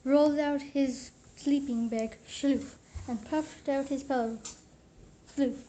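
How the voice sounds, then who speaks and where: High, mellow female storybook reader. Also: steady tone, slow. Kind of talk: storybook reading for children.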